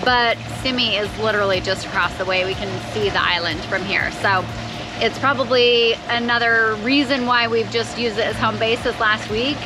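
A woman's voice: on the high side, normal speed, over music. A woman hosting a sailing vlog in casual, giggly, easygoing chat, recorded outdoors on a boat. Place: outdoors on a sailboat. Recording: noisy open-air boat recording.

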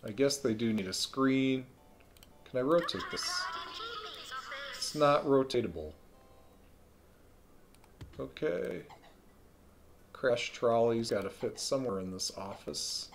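A man streaming a video game live, thinking aloud in a relaxed way with frequent ums. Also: mid-range voice, slow, gravelly, long pauses. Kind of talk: live let's-play commentary.

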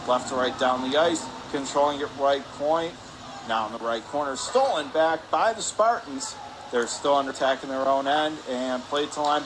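A man doing live radio play-by-play of ice hockey, in clipped running phrases that rise with the action. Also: a little high. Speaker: play-by-play announcer. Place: indoor ice rink stands. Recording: clear rink noise behind.

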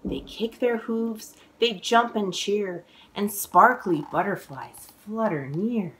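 A woman in her forties reading a children's picture book aloud, expressive and exclaiming, drawing out words for young listeners. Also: medium pitch, takes it slowly, a little hiss or hum, low-quality recording. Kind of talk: animated children's read-aloud storytelling. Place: living room at home, indoors.

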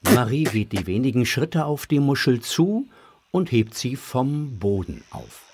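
A man narrating a children's audio drama in a scripted storytelling style, with a husky voice. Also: medium pitch, big pitch swings, a little slow, a little mellow, slight background noise.

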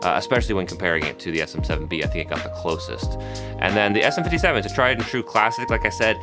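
A man in his thirties with a low, nasal voice talks easily to camera, dotting his sentences with fillers. He sits close to a studio mic in a small home room. A clear music bed plays under him. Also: racing. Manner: relaxed, chatty, with frequent fillers. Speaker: video host testing microphones. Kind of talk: casual gear review to camera. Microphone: studio microphones close in front.

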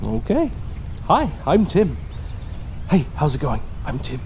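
A man's voice: fairly high pitch, wildly swooping pitch, slow, darkish tone, slight background noise, full character voice. An actor playing an eerie, overly polite and cheerful young man hitchhiking with kidnappers. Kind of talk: audio drama acting.

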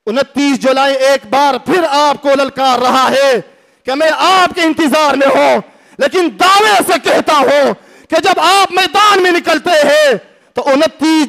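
A middle-aged man with a Pakistani Urdu accent, giving an impassioned speech at a lectern in a packed hall, rising to shouts and rhetorical questions. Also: very high-pitched, steady tone, a little slow, gravelly. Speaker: religious party speaker. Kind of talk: fiery rally speech. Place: large packed hall, indoors. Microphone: lectern mic.

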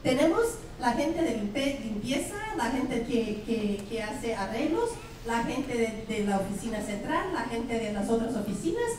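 A woman's voice: a little high, big pitch swings, measured pace, few pauses, noisy background. A middle-aged woman from Brazil, a native Portuguese speaker with a Brazilian accent, giving a chatty, playful welcome speech to parents as school principal on a handheld mic. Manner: chatty, playful, asks the audience questions. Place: large school cafeteria hall. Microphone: handheld microphone. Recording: echoey hall, distant camera mic.

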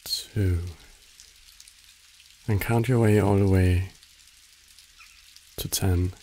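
Guy doing guided meditation, super slow n mellow, soothing, long pauses, bit of rainy hiss behind him. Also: deep voice, steady tone. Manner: soothing, measured, instructing in short phrases with long pauses.

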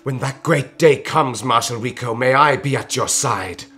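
An actor playing an eager, devout young crusader in an earnest historical radio-drama style. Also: male voice, mid-range voice, moderate pace, bright, forceful tone, lightly acted. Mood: earnest, hesitant.

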